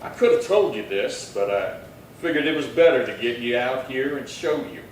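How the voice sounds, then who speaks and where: A man playing a steady, grave Old West marshal in a radio drama, measured and persuasive. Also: mid-range voice, natural voice. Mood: grave, persuading. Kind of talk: Western radio drama acting. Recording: echoey hall, distant mic, faint noise.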